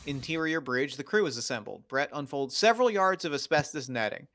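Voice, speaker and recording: A man's voice: on the high side, animated, a little fast, fairly bright tone. A man narrating, reading out screenplay stage directions in short, scripted phrases.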